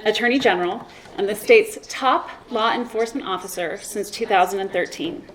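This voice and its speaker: A woman's voice: on the high side, slight background noise, low-quality recording. Woman reading a formal tribute. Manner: reads steadily with occasional slips and restarts. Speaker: presenter reading a citation.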